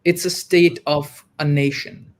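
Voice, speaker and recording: A man joining a livestream as a guest, arguing his points quickly and emphatically in casual discussion. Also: fairly high pitch, fairly even intonation, crowd noise.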